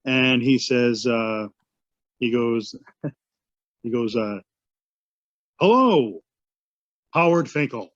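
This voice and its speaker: A man tells a story with animated amusement. His voice sits at a middle pitch. The recording sounds dull and dry, with no room around it. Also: drawn-out words, pauses often, dry recording. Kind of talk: storytelling anecdote.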